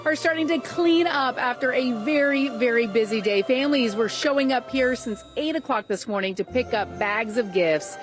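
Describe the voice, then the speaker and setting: A woman's voice: on the high side, normal speed, few pauses, music in the background. A woman reporting for TV news in a scripted narration style.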